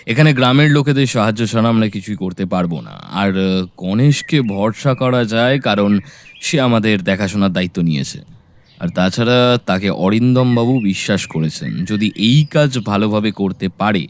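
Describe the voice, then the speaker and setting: A man acting a sharp, resolute detective in an audio drama, speaking firmly and deliberately as he reasons aloud. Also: on the low side, expressive. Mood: measured, purposeful. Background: background music.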